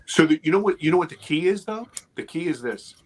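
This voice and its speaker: A man's voice: a little high, animated, fast talker, rough recording. A man in his fifties, a guest on a podcast, talking casually and with energy, swearing freely and checking in with "right?" and "okay". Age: 50s.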